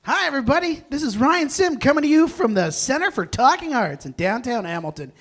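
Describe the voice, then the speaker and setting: A man hosting a live stage event, announcing informally with halting, self-interrupting delivery. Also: extremely high pitch, very animated, fairly bright tone. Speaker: host of a live table read.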